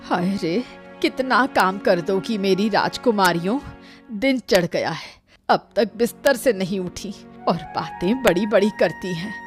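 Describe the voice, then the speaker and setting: A woman acts out a careworn grandmother in a cartoon family story. She speaks slowly at a middling pitch, scolding and lamenting as an old woman does. Soft music plays behind her. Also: close to an everyday voice. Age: older. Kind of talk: Hindi animated story dialogue.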